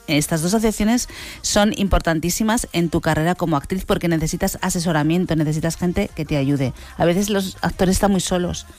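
Woman casting director on a radio show, kinda low voice, repeats herself for emphasis, faint music behind. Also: darkish tone. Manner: reflective, repeats phrases for emphasis. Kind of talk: radio talk show commentary.

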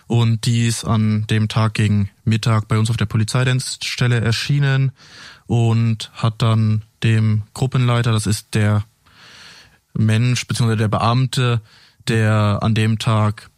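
Bilingual police officer in a dry studio. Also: male voice, fairly low pitch, flat delivery, measured pace, a little mellow, no room sound. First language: French and German, bilingual. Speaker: police officer, interview guest. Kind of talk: recounting a case in a studio interview.

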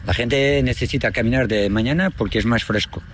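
A middle-aged Portuguese fisherman speaks Spanish with a Portuguese accent, which is not his first language. His voice sits at an ordinary middle pitch. He talks casually and keeps checking in with a little "no?". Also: fairly quick, a little hiss or hum. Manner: chatty, ends phrases with a questioning "no?". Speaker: boatman and fisherman. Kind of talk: casual interview on a boat.

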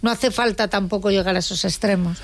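A woman on a radio panel, giving opinions in a measured, serious way. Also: mid-range voice, steady tone, creaky.

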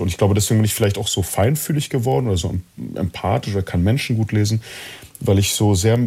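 Male interview guest, very low mellow voice, husky edge, reflective, halting personal storytelling. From born in East Germany, partly raised in Chile. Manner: reflective, halting with repeated words. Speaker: interview guest, actor and coach.

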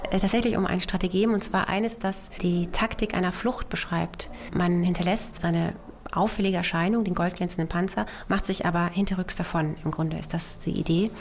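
A woman novelist with Russian as her first language, raised in Germany, answering interview questions thoughtfully with many pauses, fillers and restarts. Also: fairly low pitch, steady tone, slight background noise. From Germany, child of Soviet immigrants. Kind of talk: literary interview.

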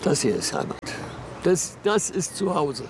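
A man's voice: on the high side, big pitch swings, a little slow, background noise. A man chatting casually and plainly at an outdoor garden meal. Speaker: interviewee. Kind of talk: relaxed chat at a barbecue.

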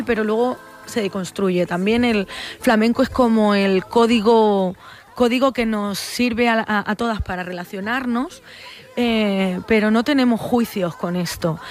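A young woman with a Barcelona Spanish accent talks about her work as a flamenco singer. She answers slowly and reflectively, pausing on fillers and restarting her sentences as she searches for the right words. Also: medium pitch. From Spain. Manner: thoughtful, hesitant with many fillers and restarts. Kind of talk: interview answers.

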